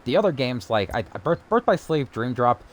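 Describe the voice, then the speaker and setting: A man's voice: mid-range voice, very animated, moderate pace. A man hosting a live game stream, chatting casually and giving his opinions with enthusiasm. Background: faint game music.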